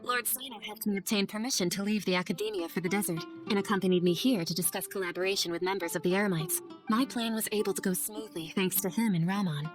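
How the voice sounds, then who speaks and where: An actress playing an earnest, idealistic young scholar in a video game cutscene, speaking gently and articulately. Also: female voice, mid-range voice, quick pace, hardly any pauses, close to an everyday voice. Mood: earnest, reflective. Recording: music under the voice.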